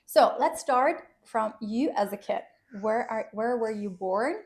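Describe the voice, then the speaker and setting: A woman's voice: a little high, lively intonation, a little slow. A woman with an Italian accent, a native Italian speaker, hosting a podcast interview in a chatty, loose way with frequent restarts. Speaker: podcast host. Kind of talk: casual interview chat.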